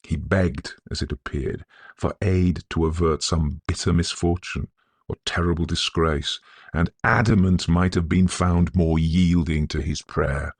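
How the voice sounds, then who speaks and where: Deep, gravelly male storyteller. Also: fairly even intonation, no echo. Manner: measured, deliberate, solemn delivery. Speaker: narrator. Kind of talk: scholarly, atmospheric literary ghost story narration.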